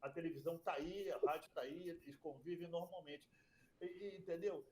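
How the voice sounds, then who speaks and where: A man with a fairly high voice argues his point emphatically, tripping over restarts as he goes. He sounds roomy and echoey, with a faint noise under him. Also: steady tone, brisk, darkish tone. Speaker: radio broadcaster, guest. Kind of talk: conversational interview.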